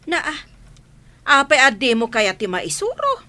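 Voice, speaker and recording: A woman's voice: high voice, wildly swooping pitch, a little slow, bright, forceful tone, full character voice. A woman playing a meddling, suspicious mother-in-law who scolds, in an Ilocano radio drama. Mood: critical.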